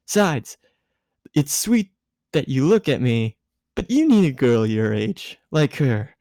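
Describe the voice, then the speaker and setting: A man's voice: medium pitch, sing-song, slow, warm tone, no room sound, clean studio sound. A man voicing a tipsy, giggly, bossy woman handing out rambling, emphatic love advice in a fan fiction reading. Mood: tipsy, insistent.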